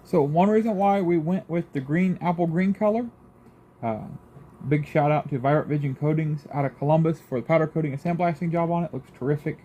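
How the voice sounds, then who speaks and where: A man's voice: fairly high pitch, fairly quick, warm tone, dull audio, slight background noise. A man with a folksy, informal manner hosting a casual how-to restoration video. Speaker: host of restoration vlog.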